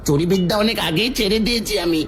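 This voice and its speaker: A man's voice: high voice, rapid-fire, music in the background, lightly acted. A man playing a lying, defensive village thief who whines his innocence in a cartoon fairy tale.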